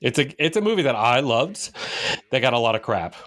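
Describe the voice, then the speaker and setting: A man with a medium-pitched voice speaks as a podcast co-host. His delivery is conversational and informal, like one gamer explaining things to another. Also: big pitch swings, a little fast, fairly bright tone. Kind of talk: casual podcast chat about video games.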